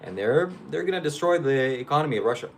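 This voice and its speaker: A man's voice: a little high, lively intonation, fast, slight background noise. A man from Ukraine, hosting a live stream and chatting casually with viewers in a rambling, hesitant way. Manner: rambling, hesitant, with many fillers and restarts. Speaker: livestream host.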